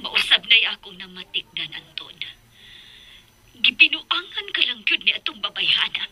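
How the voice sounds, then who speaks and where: A woman's voice: fairly high pitch, big pitch swings, moderate pace, bright, forceful tone, husky, heavily acted. A woman in a Visayan Filipino radio drama playing a middle-aged, bossy, short-tempered matriarch. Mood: concerned, insistent. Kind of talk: broadcast radio drama acting.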